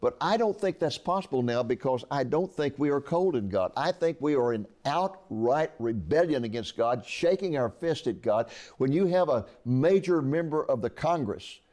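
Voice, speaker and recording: A man's voice: medium pitch, lively intonation, moderate pace, hardly any pauses, a little mellow. A man in his eighties, an author interviewed about his book, speaking earnestly and often restarting his words. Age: 80s. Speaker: author guest. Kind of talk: conversational interview.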